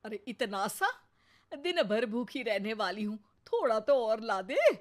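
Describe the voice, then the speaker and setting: A stubborn, pious elderly mother-in-law played by a woman from a cartoon story, fairly high in pitch and slow in pace. She is bossy and scolds with dramatic flair. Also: very animated. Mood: demanding. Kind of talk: cartoon moral-story voice acting.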